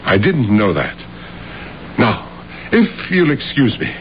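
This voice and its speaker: Brief: an uneasy male official, hesitant and apologetic, lively pitch, muffled radio sound. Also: slow pace, slight background noise. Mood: awkward. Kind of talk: 1970s radio drama acting. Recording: muffled old radio broadcast.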